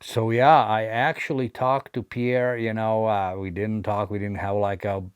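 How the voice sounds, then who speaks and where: A man's voice: on the low side, flat delivery, unhurried, clear, resonant tone, no room sound, lo-fi sound. A man in his forties with a Latvian accent, casually telling a rambling story to his vlog audience, full of fillers and restarts. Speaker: vlogger, hat collector.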